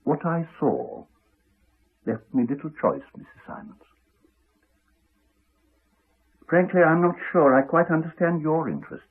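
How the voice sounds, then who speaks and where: A muffled 1980s radio drama recording of a courteous but crotchety English doctor. His delivery is dry and formal, with lively rises and falls and a slightly husky tone. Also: fairly high pitch, full character voice. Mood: guarded. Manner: dry, formal, measured politeness. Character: crotchety, evasive, courteous male village doctor.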